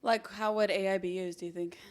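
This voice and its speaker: A woman's voice: medium pitch, fairly even intonation, a little fast. A woman co-hosting a podcast, telling true-crime stories in an informal, conversational way. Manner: informal, chatty, acts out imagined remarks. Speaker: podcast co-host.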